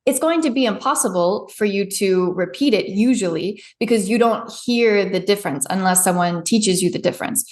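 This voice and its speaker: A woman pronunciation coach explaining her methods in a chatty, conversational way. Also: medium pitch. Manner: chatty, explanatory, laughs easily. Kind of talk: conversational interview. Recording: roomy echo.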